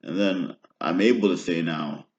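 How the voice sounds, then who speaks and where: Very deep-voiced man rambling, roomy and dull. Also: moderate pace. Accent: American. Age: 30s. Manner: meandering, often ends phrases with "right?". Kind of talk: rambling personal monologue.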